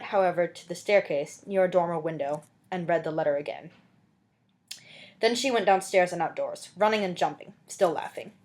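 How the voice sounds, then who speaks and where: A woman's voice: on the low side, a little mellow. Woman reading a classic novel aloud. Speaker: amateur audiobook reader. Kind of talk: expressive storybook narration.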